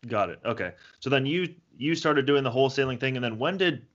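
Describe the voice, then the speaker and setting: A man's voice: medium pitch, lively intonation, fairly quick, a bit bright, dull audio. A young man hosting a podcast, affirming his guest and asking friendly questions. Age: young adult. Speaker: podcast host. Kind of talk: casual interview.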